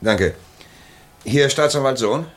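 An actor playing an earnest, frustrated public prosecutor in a German historical crime radio drama, speaking formally and insistently. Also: male voice, mid-range voice, expressive, slow. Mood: businesslike.

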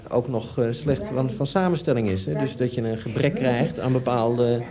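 A man's voice: mid-range voice, normal speed, a crowd in the background. Hesitant man explaining things informally. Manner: hesitant, searching for words, explanatory. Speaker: nutrition expert answering audience questions. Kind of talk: informal spoken advice in a radio discussion.

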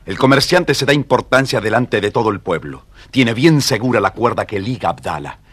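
Low-voiced Argentine storybook narrator, man. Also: expressive, fairly quick, a bit bright, slightly rough, no echo. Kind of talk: scripted narration of a children's story.

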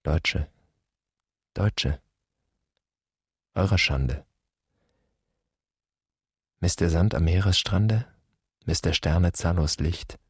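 Grave, embittered man for radio drama; rock-bottom low, husky voice, questioning delivery. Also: drawn-out words, long pauses, no echo, clean studio sound, heavily acted. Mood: grave declamation. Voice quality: husky, very deep. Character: grave, bitter grown man pondering his dead father. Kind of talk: literary radio drama monologue.

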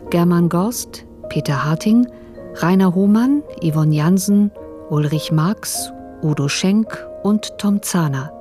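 A woman's voice: fairly low pitch, mellow. A woman reading a scripted radio announcement of credits in a composed, formal manner.

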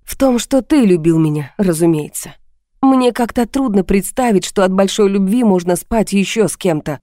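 A woman's voice: mid-range voice, normal speed, dark tone, no room sound, studio quality, voice pushed up, close to an everyday voice. A woman voicing a bitter, mocking wife in a failing marriage, with a cutting, sarcastic manner.

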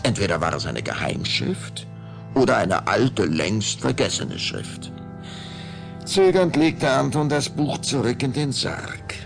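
A man narrating a children's audio drama in a measured, suspenseful storytelling style. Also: mid-range voice, sing-song. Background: clear background music.

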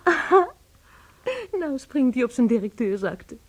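An actress in a Dutch radio play playing a lovestruck, stubborn mistress, dreamy, dramatic and rapturous. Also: female voice, a little high, very animated, a little slow. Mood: adoring, excited.

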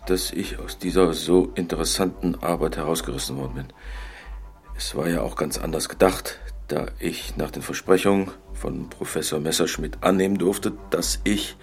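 An actor playing an embittered middle-aged engineer in a radio drama, formally and deliberately dictating a letter. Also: male voice, low voice. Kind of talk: radio drama, dictating aloud with spoken punctuation.

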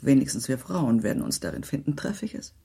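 Minister's wife, radio drama, gracious, formal, measured, dark husky tone, diplomatic. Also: female voice, extremely low pitch, expressive, exaggerated voice. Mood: gracious, tactful. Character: gracious, tactful high-society lady, a minister's wife. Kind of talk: German radio play acting.

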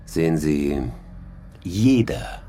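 A man's voice: a bass voice, expressive, drawn-out words, mellow, slight background noise, exaggerated voice. An actor playing an unapologetic male football club boss in a crime radio drama, controlled, self-assured and matter-of-fact. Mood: cool, confiding.

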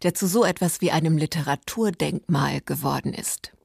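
A woman's voice: low voice, normal speed, gravelly, a little mellow, slightly rough, dry recording. A woman hosting a radio literature programme, reading a scripted introduction to a book.

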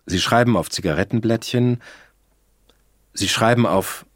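A man narrating a radio drama about his family in a calm, matter-of-fact way. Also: fairly low pitch, a little slow, no echo, clean studio sound. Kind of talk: scripted narration.

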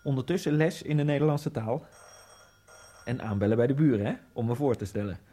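A man's voice: mid-range voice, a little fast, warm tone. An actor playing an Egyptian immigrant, telling his story in a dreamy, brooding radio drama monologue over music. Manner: brooding, dreamy inner monologue with sudden bursts.

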